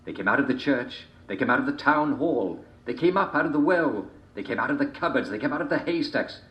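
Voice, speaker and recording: A man telling a dark fairy tale in a theatrical, deliberate manner, with repeated phrases and dramatic pauses. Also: mid-range voice, animated. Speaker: storyteller reading a folk tale. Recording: dull, roomy, echoing home recording.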